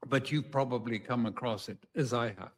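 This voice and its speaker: A man speaking as an interview guest, giving commentary in a halting, measured way. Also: medium pitch. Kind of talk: spoken analysis in an interview.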